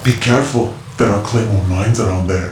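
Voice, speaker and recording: An actor playing a mysterious, evasive radio informant who speaks tersely over a radio transmission. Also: male voice, fairly low pitch, fairly quick, roomy sound, over music. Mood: guarded.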